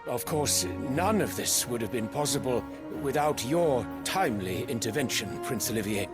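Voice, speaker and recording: An actor playing a cautious court counsellor in a fantasy game, formal, diplomatic and deferential, with a husky voice. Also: male voice, mid-range voice, lively intonation, moderate pace, loud music behind the voice, natural voice. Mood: courteous. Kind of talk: fantasy video game cutscene acting.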